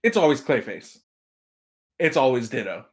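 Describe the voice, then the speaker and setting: A man's voice: medium pitch, very animated, normal speed. A man speaking as a podcast guest and film commentator in casual, humorous chat.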